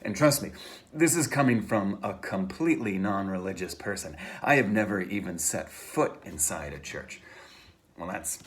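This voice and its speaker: A man, an author, reading his novel aloud in a conversational, halting first-person style with theatrical emphasis. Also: deep voice, expressive, a little hiss or hum.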